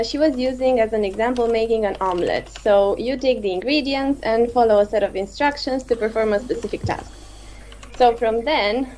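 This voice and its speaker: A woman speaks on a video-call panel about her studies. Her voice sits a little high. She talks fluently, with a few fillers. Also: darkish tone, slight background noise. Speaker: PhD student and tech lead. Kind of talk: panel self-introduction and story.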